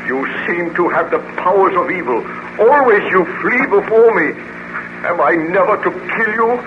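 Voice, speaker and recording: An actor playing a tormented, vengeful baron in a 1930s radio serial, earnest and melodramatic, with formal diction. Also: male voice, high-pitched, animated, moderate pace. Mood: accusing. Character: haunted, vengeful baron, tormented creator of a monster. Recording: noisy, dull 1930s radio recording.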